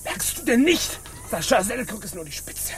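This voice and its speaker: An actor playing a pedantic, self-important pirate in a radio play, speaking in stilted, over-precise, affronted sentences. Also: male voice, sits high, big pitch swings, normal speed, a little hiss or hum. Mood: indignant. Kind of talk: stage-like radio play acting.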